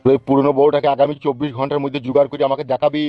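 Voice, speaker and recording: Man playing a fast, blustering village politician. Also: mid-range voice, flat delivery, no room sound, lightly acted. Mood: scolding, threatening. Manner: scolding, cursing, blustering. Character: angry foul-mouthed male village politician, bullying and threatening. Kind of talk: comic cartoon dubbing. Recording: dull lo-fi, music behind.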